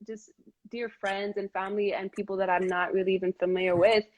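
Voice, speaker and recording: A woman's voice: medium pitch, little pitch movement, fairly quick, low-quality recording. Earnest woman hosting a casual live chat. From Montreal, Canada. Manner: earnest, many fillers and restarts. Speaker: host of a live video chat. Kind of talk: casual heartfelt conversation. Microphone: live stream device mic.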